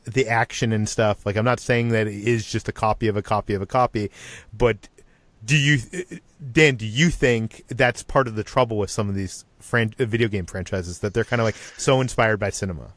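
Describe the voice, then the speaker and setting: A man's voice: fairly low pitch, steady tone, a little fast, a bit bright, no echo. A man hosting a casual panel discussion, putting points tentatively and conversationally as questions. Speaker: podcast host.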